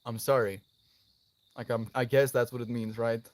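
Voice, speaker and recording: Expressive man in a dry recording. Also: mid-range voice, moderate pace, dry recording. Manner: effusive, affectionate, calling listeners "friend". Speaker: live streamer, host. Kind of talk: casual livestream chat, responding to viewer comments.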